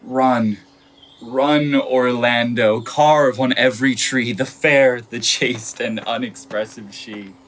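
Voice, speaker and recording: A man playing an earnest young lovesick gentleman in Shakespearean verse for a radio play. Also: medium pitch, steady tone, slow pace. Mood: ardent. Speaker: student actor.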